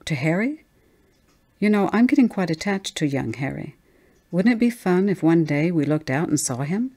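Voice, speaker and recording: A woman's voice: fairly low pitch, expressive, normal speed, mellow. A woman voicing an easygoing, practical husband in a story, in a breezy, dismissive, reasoning manner. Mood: teasing.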